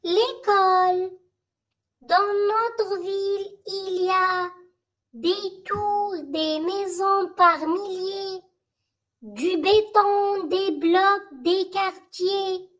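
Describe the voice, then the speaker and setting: A very high-pitched voice reciting a school poem in short, measured phrases with pauses, for learners to memorize. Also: fairly even intonation, fairly bright tone, lo-fi sound.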